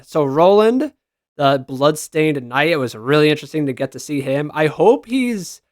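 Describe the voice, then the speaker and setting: A man's voice: a little high, no room sound, studio quality. A man giving casual, enthusiastic reaction commentary as a gaming video creator, with slangy exclamations.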